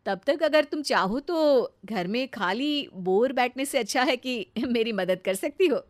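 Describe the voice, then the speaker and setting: Woman as a kind, high-voiced sister-in-law. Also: animated, a little slow, dry recording. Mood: encouraging.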